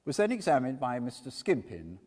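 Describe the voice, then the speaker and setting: A man's voice: medium pitch, huge pitch swings, brisk, a little mellow, breathy edge. A man narrating as a reader of literary scenes, in a dramatic storytelling style. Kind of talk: dramatic stage reading, storytelling. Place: church, before an audience. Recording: reverberant church hall.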